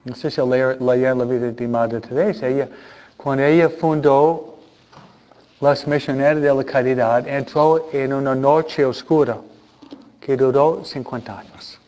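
Slow, halting priest preaching. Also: male voice, mid-range voice, steady tone, a little mellow, lo-fi sound. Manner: unhurried, hesitant, frequent rhetorical questions. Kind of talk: conversational sermon with humor.

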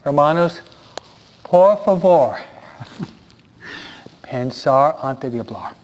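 Priest, earnest preacher, fairly high voice, muffled lo-fi recording. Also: male voice, lively intonation, extremely slow. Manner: earnest, preaching with repeated pleas and rhetorical questions. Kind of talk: sermon. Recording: dull lo-fi sound.

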